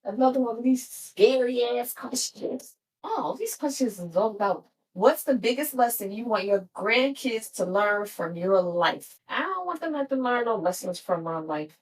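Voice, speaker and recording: A woman's voice: on the high side, expressive, normal speed, recorded from a distance, low-quality recording. A woman in her fifties chatting casually with her daughter, blunt and playful, often laughing. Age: 50s. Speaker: podcast guest, author and host. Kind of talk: casual family chat, question cards.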